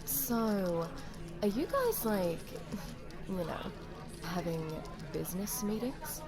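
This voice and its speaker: A woman's voice: mid-range voice, big pitch swings, slow, music in the background. A woman playing a chatty, gossipy young office friend in an audio roleplay, talking in a playful, conversational way. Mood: teasing.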